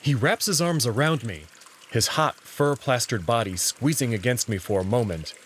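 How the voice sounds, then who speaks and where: A man reading scripted, expressive first-person narration as the narrator of a visual novel. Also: mid-range voice, moderate pace, slight background noise.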